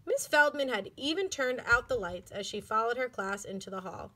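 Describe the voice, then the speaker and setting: A woman reading a children's book aloud with clear, expressive storytelling. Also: fairly high pitch, moderate pace. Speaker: elementary school educator.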